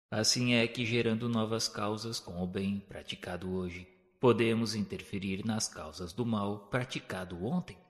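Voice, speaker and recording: A Brazilian man narrates a spiritual audio novel in a solemn, formal, measured storytelling manner. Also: lowish voice. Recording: long hall-like reverb.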